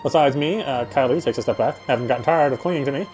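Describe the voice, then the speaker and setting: Fast-talking man streaming a story game. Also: mid-range voice, darkish tone. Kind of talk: casual chat and reading game narration aloud. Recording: faint game music behind.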